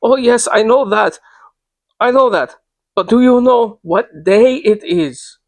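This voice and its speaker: A man's voice: very high voice, slow pace, no room sound, voice pushed up, exaggerated voice. A man voicing a frightened, hysterical old landlady who pleads urgently, in a dramatic reading of a gothic novel. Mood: agitated, insistent. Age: older.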